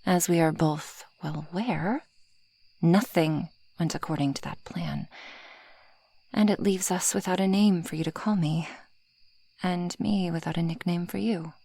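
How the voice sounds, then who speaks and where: Husky, breathy dragoness voiced by a woman. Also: lowish voice, measured pace, mellow, full character voice. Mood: possessive, smug. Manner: haughty, teasing, formal wordy phrasing, often dropping into whispers. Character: possessive, proud, civilized female dragon predator. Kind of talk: ASMR roleplay addressed to the listener.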